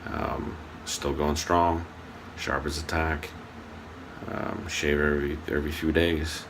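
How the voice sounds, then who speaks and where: Very deep gravelly man, halting testimonial. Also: little pitch movement, noisy background. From Oregon. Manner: halting, with many ums. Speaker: customer giving a testimonial. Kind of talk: casual video testimonial.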